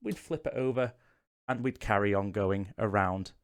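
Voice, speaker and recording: A man's voice: fairly low pitch, moderate pace. Chatty man explaining game rules. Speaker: host of a board game tutorial channel. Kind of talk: casual how-to-play explanation to camera.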